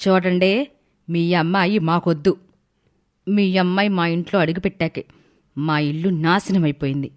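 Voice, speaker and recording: A woman's voice: fairly low pitch, steady tone, moderate pace, long pauses, a little mellow, voice pushed down, close to an everyday voice. Woman playing a domineering, harsh mother-in-law. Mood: scornful. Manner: harsh, bossy. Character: domineering, angry middle-aged mother-in-law.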